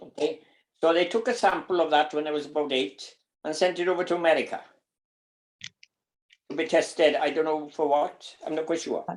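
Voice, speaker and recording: A man in his seventies, a guest recalling things casually in conversation, halting and repeating his words. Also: on the high side, low-quality recording. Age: 70s. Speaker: interview guest.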